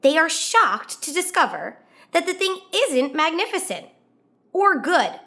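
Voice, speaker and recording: A woman reading a children's picture book aloud as a storyteller, expressively and with drawn-out emphasis on key words. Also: extremely high pitch, sing-song, bright, forceful tone. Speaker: children's read-aloud host.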